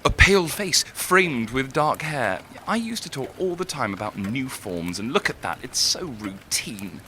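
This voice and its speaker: Anguished young writer, brightly intense. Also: male voice, medium pitch, very animated, measured pace, a little hiss or hum. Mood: brooding. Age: young adult. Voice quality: intense, emotional delivery. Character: anguished, self-doubting young male writer, lovesick and volatile. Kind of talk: audio drama acting.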